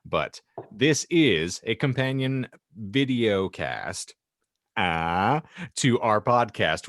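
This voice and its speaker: Deep-voiced, animated American man hosting. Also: dry recording, studio quality. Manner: wordy, playful, mock-formal delivery. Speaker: podcast host. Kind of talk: jokey hosting of a video podcast.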